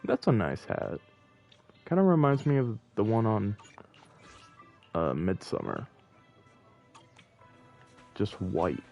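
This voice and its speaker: A man's voice: lowish voice, huge pitch swings, slow pace, gravelly, pauses often, darkish tone, highs cut off. A man chatting casually on a game livestream, rambling and thinking aloud, with game music behind him. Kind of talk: casual livestream chat while playing.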